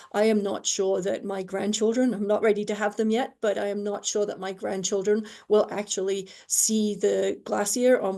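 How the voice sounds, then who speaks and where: A woman moderating a panel on a video call, sharing a personal reflection in a measured way. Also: mid-range voice, gravelly, dark tone. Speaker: moderator, host.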